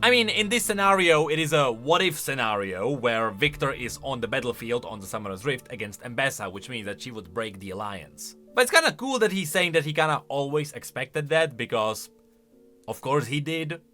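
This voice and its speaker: A man's voice: medium pitch, very animated, moderate pace, bright, forceful tone, soft background music. A man hosting casual reaction and explanation commentary, talking in an emphatic, conversational way. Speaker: video host.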